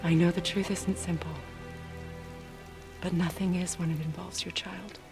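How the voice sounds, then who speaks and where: A woman playing a wise, sorrowful, motherly witch in a video game, speaking in a measured, solemn and tender way. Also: lowish voice, little pitch movement, gravelly, over music. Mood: sorrowful, confiding. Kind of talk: dramatic video game cutscene acting.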